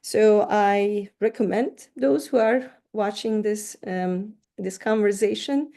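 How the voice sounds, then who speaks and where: Middle-aged woman from Turkey, gravelly and warm, thoughtful interview guest who restarts words. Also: medium pitch, takes it slowly. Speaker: counseling professor, guest. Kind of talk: interview answers.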